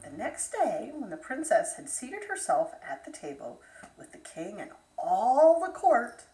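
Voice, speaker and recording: Slow, expressive woman storyteller in echoey room. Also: on the high side, a little hiss or hum. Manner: unhurried, expressive read-aloud with dramatic pauses. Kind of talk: bedtime fairytale narration. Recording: roomy echo, distant microphone.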